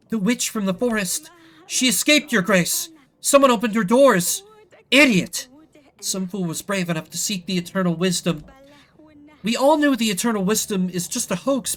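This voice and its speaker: A man playing a frightened villager in a story game, dramatic and halting as he warns of a witch. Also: high-pitched, fairly bright tone, voice pushed up. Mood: panicked. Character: frightened villager, urgently warning of an escaped witch. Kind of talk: acted narrative game dialogue.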